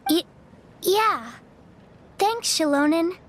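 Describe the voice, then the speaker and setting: A woman's voice: very high voice, expressive, exaggerated voice. A woman playing a timid, frail little girl who stammers meekly in a video game. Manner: halting, stammering, meek. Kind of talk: video game character acting.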